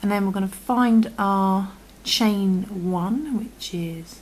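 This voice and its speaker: A woman with a croaky voice, patiently talking through a crochet tutorial and often dropping to a half-whisper as she works. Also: mid-range voice, takes it slowly, darkish tone, a little hiss or hum. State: croaky from illness. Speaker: crochet instructor. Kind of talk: step-by-step tutorial.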